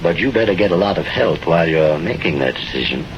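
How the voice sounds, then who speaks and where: An actor playing a godlike, fatherly older man who speaks slowly and persuasively in an old-time radio drama. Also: low-pitched, animated. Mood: fatherly, frank. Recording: dull old radio recording, noisy. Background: rain and thunder.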